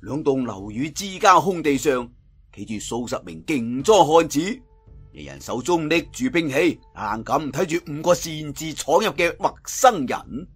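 A man's voice: fairly high pitch, slightly rough. A man with a Cantonese accent narrating a martial arts tale in a theatrical, colloquial storytelling style. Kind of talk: dramatic scripted wuxia story narration.

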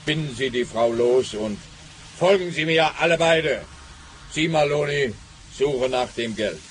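An actor playing a pompous, officious, easily exasperated police officer in a comic radio play, speaking in stilted bureaucratic phrases. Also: male voice, mid-range voice, expressive, a little slow, slight background noise, close to an everyday voice. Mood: bossy, indignant.

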